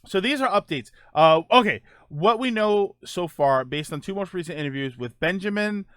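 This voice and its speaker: A man's voice: sits high, lively intonation, normal speed, fairly bright tone, dry recording. A man hosting a casual podcast, reading viewer topics and tweets aloud with many ums and restarts. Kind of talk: casual solo podcast chat.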